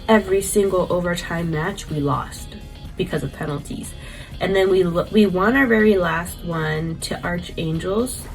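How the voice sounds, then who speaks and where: A woman's voice: fairly low pitch, rough recording. Young woman, stuffed-up cold voice, loose rambling vlog chat, faint music underneath. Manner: rambling, filler-heavy, chatty. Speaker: vlogger and paintball player. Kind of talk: casual recap vlog.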